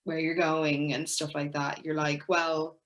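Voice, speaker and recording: A woman's voice: sits low, flat delivery, measured pace, fairly bright tone, low-quality recording. A young woman with an Irish accent, an actress speaking as a guest, talking with frequent fillers and restarts. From Ireland. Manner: hesitant, many fillers and restarts. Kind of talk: casual interview talk.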